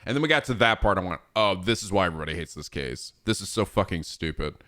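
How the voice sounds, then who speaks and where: A man's voice: deep voice, lively intonation, quick pace, fairly bright tone. A man in his thirties chatting casually on a livestream, rambling and swearing freely with frequent laughs. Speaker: streamer.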